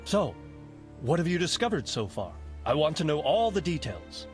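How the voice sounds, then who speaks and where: An actor playing an ageing medieval nobleman in a video game, practical, wry and quietly commanding. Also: a little high, big pitch swings, faint music behind. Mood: brisk, commanding. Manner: measured, authoritative, wry. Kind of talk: video game cutscene acting.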